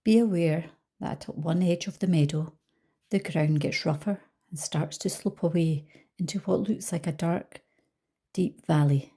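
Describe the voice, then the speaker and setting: Slow, mellow woman guiding a meditation. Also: low-pitched, breathy edge. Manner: calm, gentle, soothing, with soft trailing phrases. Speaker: life coach leading a guided visualisation. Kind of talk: guided meditation narration.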